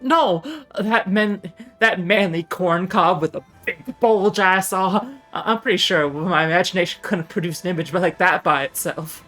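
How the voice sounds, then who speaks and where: A woman voicing an excitable, earnest and rambling dim guy in a comedy dating game. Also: lowish voice, moderate pace, faint music behind, natural voice. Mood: unsure, earnest. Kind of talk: voiced reading of comedy game dialogue.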